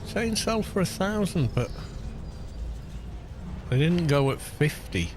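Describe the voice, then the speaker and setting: A man with a baritone voice, chatting casually on a gaming live stream and muttering to himself as he plays. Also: takes it slowly, noisy background. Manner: relaxed, muttering to himself between remarks. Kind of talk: casual live-stream commentary while playing. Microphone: studio microphone with low cut. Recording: game music playing behind the voice. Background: game music.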